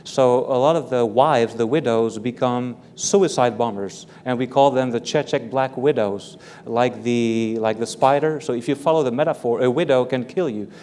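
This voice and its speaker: A man from Belgium, a native French speaker, answering interview questions as an author and professor, careful and measured, repeating words as he explains. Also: mid-range voice, a little mellow. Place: large hall with live audience. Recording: long hall reverb with faint room noise.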